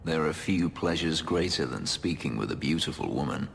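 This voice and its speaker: An actor playing a brooding, guarded elf who escaped slavery, speaking in a measured, formal, wryly understated way in a video game. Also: male voice, animated, gravelly, a little hiss or hum, full character voice. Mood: quietly warm. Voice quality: deep, low voice. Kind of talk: dramatic video game acting. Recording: long reverb, music behind.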